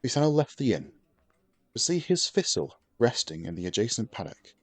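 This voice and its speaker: A man giving scripted fantasy story narration as an audiobook narrator, with a steady, even storytelling delivery. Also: mid-range voice, moderate pace, dry recording.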